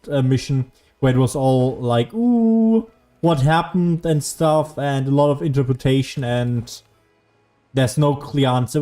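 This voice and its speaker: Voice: medium pitch, warm tone. A person giving casual, joking video game commentary in a laid-back, musing way. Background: faint game music.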